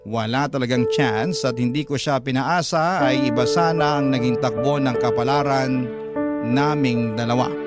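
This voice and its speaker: Filipino male storyteller over loud music. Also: mid-range voice. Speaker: radio host narrator reading a letter. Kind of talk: emotional storytelling narration, classic radio. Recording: loud music bed behind voice.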